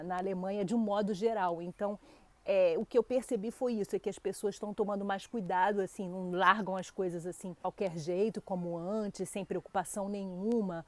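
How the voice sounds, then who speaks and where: A Brazilian woman with a Brazilian Portuguese accent speaks to camera as the host of her own channel. Her voice is warm and mellow, and she sits in a mid-range pitch typical for a woman. She talks to her viewers in a chatty, informal way, full of small fillers. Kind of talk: casual talk to camera.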